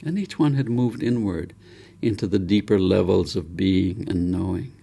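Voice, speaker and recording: A man reading his own novel aloud as an audiobook, in a measured, gentle storytelling delivery. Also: low-pitched, creaky, husky.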